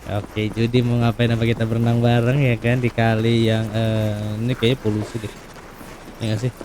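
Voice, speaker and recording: A man's voice: mid-range voice, completely flat delivery, brisk, noisy background. A man with a Jakarta Indonesian accent giving casual, slangy gameplay commentary and thinking aloud. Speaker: gaming video host.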